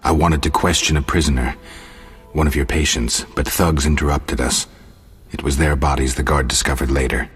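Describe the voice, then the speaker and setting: An actor playing a stoic, gruff monster hunter turned investigator in a video game, terse and flat in delivery. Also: male voice, extremely low pitch, normal speed, dry recording, soft background music, heavily acted. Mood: matter-of-fact.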